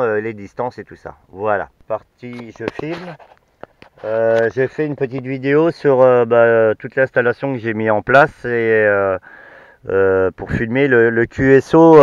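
A middle-aged man giving a casual hands-on demonstration, hesitant and pausing often. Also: mid-range voice, clear, resonant tone, dry recording, highs cut off. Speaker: amateur radio hobbyist filming a vlog. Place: outdoors by a field and inside a parked car.

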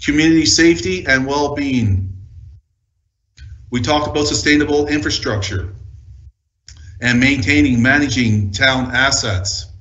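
A man giving a formal slide presentation as a senior town official, reading out lists in measured short phrases. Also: medium pitch, a bit bright, roomy sound, lo-fi sound.

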